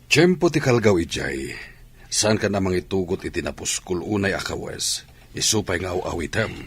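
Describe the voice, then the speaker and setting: A man playing a calm, instructive athletics coach in a radio drama, with an Ilocano Filipino accent. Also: deep voice, normal speed, slightly rough, no echo, heavily acted.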